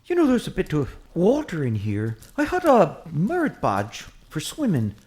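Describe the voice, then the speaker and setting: A woman voicing a chatty, excitable Irish priest, a short man who rambles eagerly in an Irish accent in a comic audio drama. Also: deep voice, normal speed. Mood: rambling, curious. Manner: rambling, eager, easily distracted.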